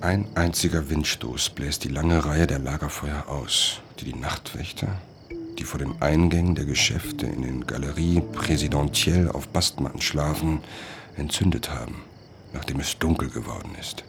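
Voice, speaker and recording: A man playing a sombre traveller, narrating a literary radio drama in a measured, descriptive way. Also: rumbling low, vocal fry, noisy background. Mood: sombre, observant.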